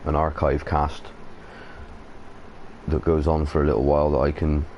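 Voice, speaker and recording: Very deep-voiced man streaming from his bedroom. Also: little pitch movement, moderate pace, a little mellow, muffled recording, a little hiss or hum. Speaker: game streamer. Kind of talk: casual live gameplay commentary. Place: hot bedroom. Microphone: console headset mic.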